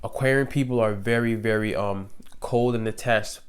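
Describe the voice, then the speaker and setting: A man's voice: lowish voice, steady tone, normal speed, clear, resonant tone. A young man with a cold, sniffling, explaining astrology in loose, slangy casual talk with lots of fillers. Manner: laid-back, slangy and loose, many fillers. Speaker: astrology YouTuber.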